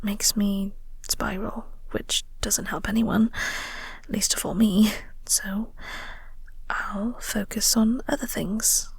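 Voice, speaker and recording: A woman playing a flirty, teasing vampire office co-worker in an intimate audio roleplay, speaking softly and breathily with a coy, unhurried manner. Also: medium pitch, steady tone, dry recording, full character voice. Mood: calm, soothing. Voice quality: soft, breathy, whispery. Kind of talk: intimate audio roleplay, whispered ASMR-like acting.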